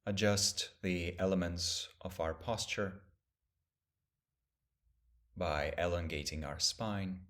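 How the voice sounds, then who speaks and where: Male meditation guide, low register. Gentle, measured instructional delivery with spacious pauses. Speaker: meditation teacher.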